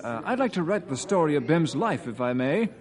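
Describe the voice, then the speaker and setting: A man's voice: a little high, very animated, fairly quick. A man playing an eager, polite newspaper writer in an old radio drama, asking questions in a crowded lobby. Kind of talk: 1950s radio drama acting.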